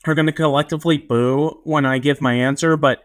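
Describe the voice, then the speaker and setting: A man's voice: a little high, fairly even intonation, brisk. A man co-hosting a podcast in casual chat, teasing with wry humor. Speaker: podcast co-host.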